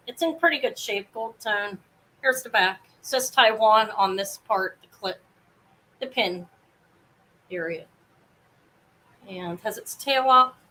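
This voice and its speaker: Brief: woman, live-sale host, loud carrying voice, rambly and self-interrupting, home setup. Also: mid-range voice, low-quality recording. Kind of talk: casual live sale chat. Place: home apartment, fan running.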